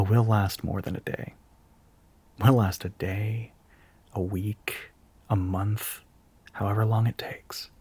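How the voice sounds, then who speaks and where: A man playing an earnest, nervous young soft-boy union spokesman in an audio roleplay, speaking haltingly but with polite firmness. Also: on the low side, breathy edge, full character voice. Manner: hesitant, halting, politely firm. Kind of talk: audio roleplay monologue, addressing the listener.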